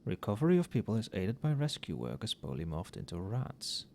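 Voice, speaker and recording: A man playing a tipsy, friendly professor in a casual ASMR roleplay, speaking softly and muttering as if to a colleague. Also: lowish voice, sing-song, a little fast, vocal fry, a little hiss or hum. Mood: muttering, absorbed. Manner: soft-spoken, muttering. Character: tipsy, friendly, conscientious male magic-university professor working late.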